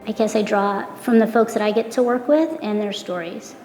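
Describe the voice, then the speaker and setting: A woman's voice: mid-range voice, moderate pace, darkish tone, roomy sound, in a large hall, faint music behind. A woman speaking encouragingly in an interview about community and resilience. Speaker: interviewee, runner.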